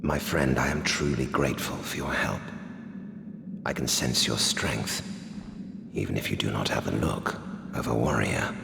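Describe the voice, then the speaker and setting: An actor playing a desperate, weakened captive prince in a video game, with a strained, breathy, gravelly voice and a halting, theatrical delivery. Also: male voice, very deep voice, pushed and bright, full character voice. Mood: weak, pleading. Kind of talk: dramatic video game cutscene acting. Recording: noisy, echoing cavernous reverb.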